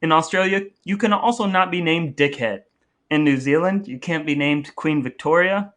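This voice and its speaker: A man's voice: fairly high pitch, normal speed, a bit bright. A man co-hosting a podcast in casual, humorous chat, with a playful, dry way of talking. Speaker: podcast co-host.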